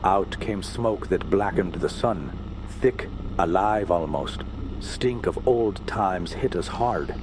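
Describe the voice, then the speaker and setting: A man playing a haunted sailor in a radio drama, grimly recounting horror in halting, inverted foreign phrasing. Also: low voice. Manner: inverted foreign syntax, halting, grim storytelling. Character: haunted Norwegian sailor recounting horror, male, grave, determined. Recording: loud boat and sea noise.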